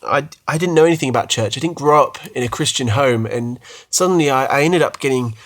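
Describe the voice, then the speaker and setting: A British man in his thirties talks easily with his wife on a podcast, with a middling pitch. He tells his story in a chatty way, often stumbling into small restarts, and jokes at his own expense. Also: quick pace. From United Kingdom. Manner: chatty, self-deprecating, frequent restarts. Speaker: podcast co-host. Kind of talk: casual faith podcast chat.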